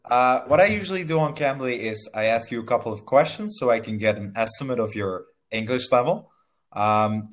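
A young man tutoring English over a video call on a headset in a small room, friendly and slow, laughing often. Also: on the low side. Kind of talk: casual video-call lesson.

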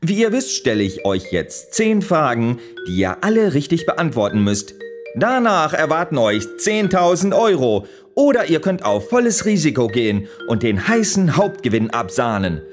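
A high-pitched, showy game show host. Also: male voice, big pitch swings. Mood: brisk, showy. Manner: theatrical, drawn-out catchphrases. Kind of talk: game show presenting in an audio drama. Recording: music and crowd behind the voice.

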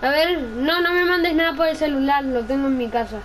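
A woman's voice: sits high, brisk. A female gamer in casual game chatter, often putting questions to a playing partner. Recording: clearly noisy home gaming recording.

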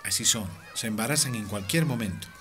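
A man's voice: lowish voice, fairly quick, fairly bright tone, soft background music, some chatter behind, close to an everyday voice. A man acting a dismissive, prejudiced male schoolteacher in a radio drama. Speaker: teacher.

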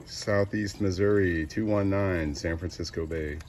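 A low-pitched American man works a CB radio amid loud noise. He speaks casually in clipped call-outs, repeating himself as radio operators do. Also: steady tone, a little slow.

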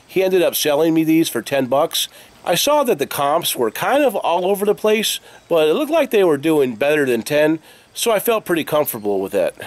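A man's voice: a little high, no echo. An American man in his forties talking casually to camera in a conversational, matter-of-fact way, like a vlogger. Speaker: reseller vlogger showing garage sale finds. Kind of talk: casual vlog talk to camera. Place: open garage doorway, outdoors. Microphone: camera at arm's length.

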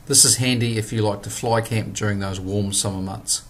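New man, 50s, low voice, scripted product voice-over, faint noise behind. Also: steady tone, fairly bright tone. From New Zealand. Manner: measured, plain instructional delivery. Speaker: product presenter.

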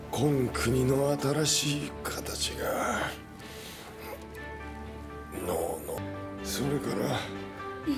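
抑揚の激しい土佐弁の侍. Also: male voice, mid-range voice, gravelly, full character voice. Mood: weak, dying. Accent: Tosa. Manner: rustic, easygoing dialect speech. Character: bold, idealistic rough-hewn samurai dreamer. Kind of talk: video game cutscene acting.

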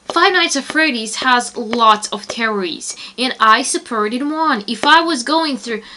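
A woman's voice: a little high, expressive, unhurried, sharp tone, a little hiss or hum. A young woman answers viewer comments in a casual, rambling vlog, sounding exasperated and full of fillers. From Romania. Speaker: toy video maker answering comments.

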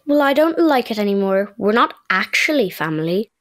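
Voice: medium pitch, big pitch swings, normal speed, fairly bright tone. An actor playing a sullen, scornful know-it-all Irish boy in a children's audio drama, curt and snappy. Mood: irritated.

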